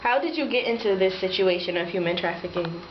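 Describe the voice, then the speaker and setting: A woman's voice: mid-range voice, lively intonation, fairly quick, lightly acted. A woman playing an earnest, informal interviewer in a student dramatization, with hesitant questions. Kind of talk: student-acted mock interview. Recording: echoey, noisy lo-fi room recording.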